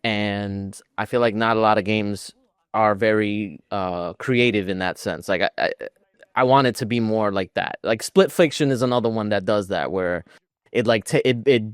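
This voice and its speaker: A man's voice: lowish voice, normal speed, clean tone, no echo, studio quality. A man co-hosting a podcast, chatting informally about video games in a self-deprecating way. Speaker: podcast co-host.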